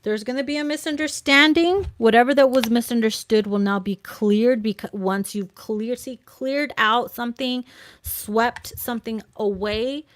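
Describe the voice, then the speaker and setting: A woman hosting a live tarot reading in an informal, chatty, encouraging way, her voice a little hoarse from talking. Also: fairly high pitch, fairly even intonation, normal speed, dry recording. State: slightly hoarse from long talking. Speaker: tarot reader hosting a livestream. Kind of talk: casual live card reading addressed to viewers.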